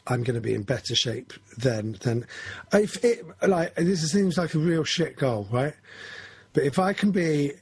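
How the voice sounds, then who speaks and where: A man's voice: on the high side, lively intonation, measured pace, darkish tone, breathy edge, no echo. A man, talking through his goals in a casual, rambling, self-correcting chat. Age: 40s.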